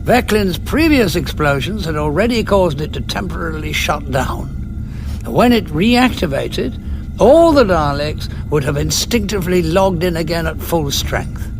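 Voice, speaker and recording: An actor playing a clever, irascible time-travelling hero, brisk and wry, in an audio drama. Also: male voice, a little high, animated, music in the background. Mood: wry confidence.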